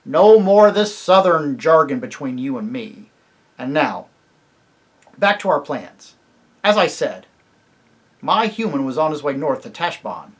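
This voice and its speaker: A proud talking warhorse from a storybook, blunt and scornful, as a man puts him on. The voice is husky and swings widely in pitch, and the delivery is loud and emphatic. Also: unhurried, long pauses, full character voice. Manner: emphatic, lively storybook reading.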